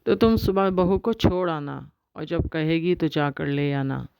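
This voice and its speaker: A woman's voice: deep voice, expressive, a little slow, vocal fry, clear, resonant tone, no room sound, muffled recording, heavily acted. A woman voicing a fussing but permissive older mother-in-law. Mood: indulgent.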